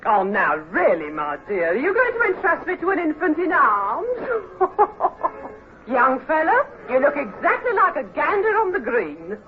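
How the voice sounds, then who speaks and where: Swooping, high-voiced, brash Duchess. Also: female voice, huge pitch swings, a little slow, full character voice. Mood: mocking, amused. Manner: theatrical, gushing, condescending. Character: brash, jolly tavern-bred Duchess, teasing and flirtatious. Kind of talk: vintage radio drama acting. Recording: muffled, noisy old radio recording.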